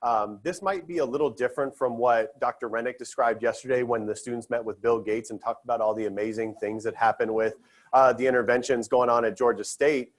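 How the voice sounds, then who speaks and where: A man's voice: mid-range voice, steady tone, brisk. A man moderating a student panel at a conference session, earnest and loose with fillers and restarts.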